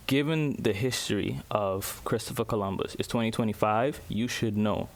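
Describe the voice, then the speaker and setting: A man from the Bahamas, giving earnest casual commentary as a vlogger and pausing to search for his words. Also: lowish voice, a little hiss or hum. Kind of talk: casual spoken commentary to camera.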